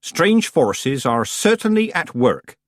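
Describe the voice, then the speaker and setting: A man voicing a stern old woman witch who speaks calmly, coldly and tersely. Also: fairly high pitch, slow pace, dry recording, clean studio sound, pitched above the performer's own voice. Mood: icy calm. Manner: calm, clipped, coldly controlled.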